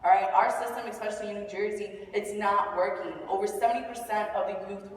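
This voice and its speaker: A woman's voice: mid-range voice, steady tone, fast, slight background noise. A woman youth advocate on a conference panel, making an impassioned case with repeated "I have a vision" statements. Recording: echoey hall, mic heard from far off.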